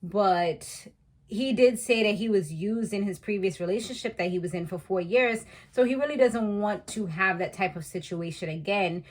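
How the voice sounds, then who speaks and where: A woman in her thirties casually recapping a reality show, chatty and slangy, laughing at her own asides. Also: mid-range voice. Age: 30s.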